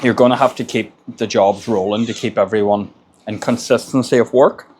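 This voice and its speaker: A man's voice: on the low side, steady tone, normal speed, a little mellow, a little hiss or hum. An Irish man, a construction business owner, gives plain-spoken advice in a casual monologue and often repeats words as he gathers his thoughts. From Ireland.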